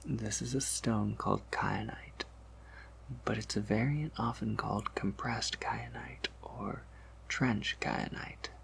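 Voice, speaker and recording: A man playing a soft-spoken vampire apothecary in a whispered ASMR roleplay, patiently explaining in a measured, slightly wry and knowing way. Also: on the low side, fairly even intonation, heavily acted. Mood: quiet, confiding. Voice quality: breathy whisper with creaky undertone.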